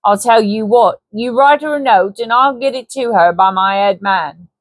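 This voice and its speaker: A scheming Cockney hunter and trader, voiced by a woman reading aloud, coaxing and ungrammatical in his talk. He sounds bold and a touch high. The voice sits close and dry, with no room around it. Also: moderate pace, dry recording, natural voice. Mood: wheedling. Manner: sly, persuasive, ungrammatical.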